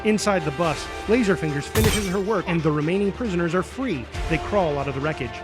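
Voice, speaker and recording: A man's voice: high voice, lively intonation, a little fast. A man narrating scripted superhero action, reading stage directions in a dramatic manner. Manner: dramatic, spoken sound effects. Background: music.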